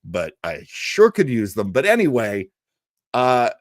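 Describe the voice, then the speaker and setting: A man hosting a live show, chatting casually with viewers in a halting, self-deprecating way and laughing easily. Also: medium pitch, huge pitch swings, dry recording, clean studio sound. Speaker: host of a live old-time radio show stream. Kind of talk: casual live chat with viewers, rambling sign-off.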